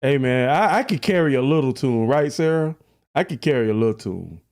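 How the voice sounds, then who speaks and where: A man with a deep voice hosting a live reaction stream, chatty and laughing at his own jokes. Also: expressive, fairly quick, darkish tone. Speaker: livestream reaction host. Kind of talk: casual live-stream chat.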